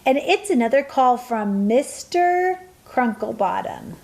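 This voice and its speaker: Casting: woman as supportive mom in radio play, high voice, lively intonation, gentle manner. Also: slow pace. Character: supportive, calm mother producing her daughters' podcast.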